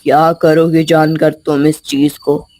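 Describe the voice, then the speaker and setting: A woman's voice: low voice, flat delivery, a little slow, warm tone, voice pushed down. A woman voicing a stern, fearsome old male holy man in a Hindi storybook tale, grave and talking down to a child. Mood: skeptical. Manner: grave, challenging, speaks down to a child.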